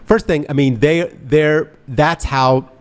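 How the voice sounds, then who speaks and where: Guy cohosting a radio talk show, animated, does little mock voices when he quotes folks. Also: fairly high pitch, slow. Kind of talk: casual talk radio chat.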